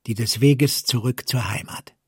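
A man's voice: medium pitch, unhurried, a bit bright, slightly rough, no room sound, studio quality. A man reading literary narration in the manner of a radio story.

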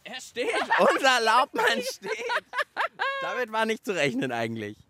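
A man's voice: extremely high pitch, wildly swooping pitch, a little slow, background noise. A man hosting a children's science show, chatting cheerfully and laughing easily. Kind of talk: casual presenting for children.

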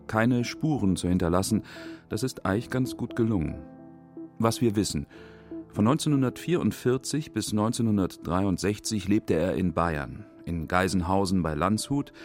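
A man's voice: on the low side, lively intonation, normal speed, darkish tone, faint music behind. A man reading scripted narration for a radio documentary feature.

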